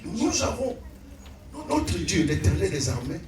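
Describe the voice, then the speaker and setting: A lively male pastor whose first language is Kikongo, delivering a sermon in short bursts and pausing between them. His voice sits a little high and swings widely in pitch. He is recorded from a distance in a reverberant church, with the congregation audible. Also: crowd noise. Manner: animated preaching in short phrases, pausing for translation. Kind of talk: sermon with interpreter. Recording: echoey church hall, distant mic, congregation.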